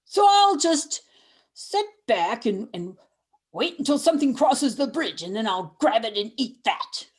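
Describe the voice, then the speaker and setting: A woman's voice: on the high side, sing-song, measured pace. A woman voicing a grumpy, hungry male troll who lives under a bridge, with a folksy, chuckling drawl. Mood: grumbling. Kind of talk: storytelling with voices.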